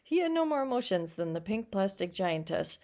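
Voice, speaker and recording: A woman's voice: medium pitch, big pitch swings, fairly quick, a little mellow. A woman reading a short story aloud as a teacher, stumbling now and then over words and adding explanatory asides.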